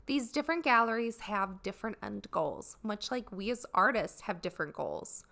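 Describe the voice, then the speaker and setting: A woman hosting a solo podcast for artists, sounding raspy and dry as she gets over a cold, talking in an earnest, explanatory way. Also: mid-range voice, sing-song, moderate pace, sharp tone. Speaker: podcast host.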